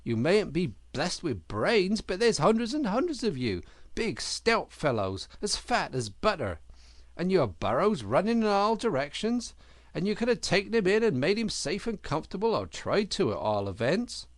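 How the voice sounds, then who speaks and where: A man voicing a hearty, boastful otter in a storybook, chummy and brisk, with jolly, emphatic old-school slang. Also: sits high, sing-song, dry recording, voice pushed up. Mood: scolding.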